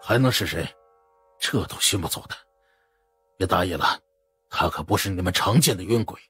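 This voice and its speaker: A man's voice: sits low, expressive, moderate pace, fairly bright tone, slightly rough, faint music behind, heavily acted. A man voicing a wary, composed leader of an occult committee in an audiobook drama. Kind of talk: dramatized audiobook character acting.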